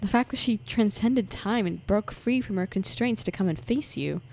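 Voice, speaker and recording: A woman's voice: medium pitch, moderate pace, gravelly, a little mellow, a little hiss or hum. A woman livestreaming a game, reacting casually with joking, slangy asides while music plays behind her.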